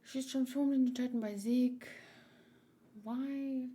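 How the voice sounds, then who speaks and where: A woman hosting a casual livestream, talking in a rambling, halting, easily distracted way. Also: sits high, fairly even intonation, mellow. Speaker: livestream host doing manga read-alongs.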